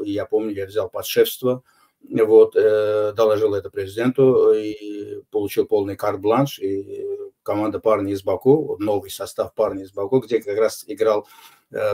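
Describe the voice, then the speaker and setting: Older man, low mellow voice, deliberate interview answers full of pauses. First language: Azerbaijani. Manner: measured, unhurried, frequent hesitations. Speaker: interview guest. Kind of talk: recorded online interview answers.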